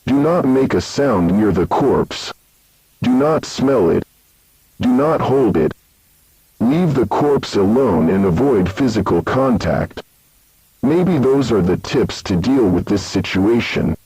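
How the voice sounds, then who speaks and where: A man's voice: mid-range voice, animated, slow pace, warm tone, husky, no room sound, low-quality recording. A man reading scripted safety instructions as an emergency announcer.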